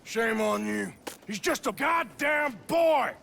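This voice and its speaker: An actor playing a menacing American outlaw gunman with a protective streak, in gruff video game drama style. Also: very high voice, lively intonation, slow pace, pushed and bright, a little hiss or hum, exaggerated voice. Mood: stern, then angry.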